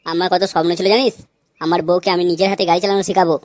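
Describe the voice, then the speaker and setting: A man's voice: high voice, level tone, rapid-fire, close to an everyday voice. A man voicing a gloomy young bachelor in a comedy cartoon, complaining in slangy talk. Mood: anxious, pleading. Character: luckless young bachelor man, whiny, gloomy, desperate to marry.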